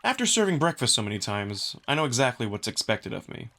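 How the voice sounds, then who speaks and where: A man's voice: medium pitch, big pitch swings, fast talker, bright, forceful tone. A man reading scripted first-person narration for a visual novel as the narrator.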